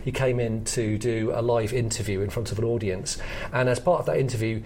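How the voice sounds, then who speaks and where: A man's voice: fairly low pitch, fairly quick, darkish tone, a little hiss or hum. A British man hosting a podcast in a conversational, explanatory style. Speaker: podcast host, comedy academic.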